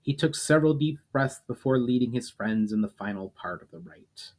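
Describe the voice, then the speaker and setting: A man reading fantasy fiction aloud as a storyteller, in a dramatic audiobook style with measured phrasing that falls away at line ends. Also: mid-range voice, expressive, a little mellow. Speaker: author reading own fiction aloud, channel host.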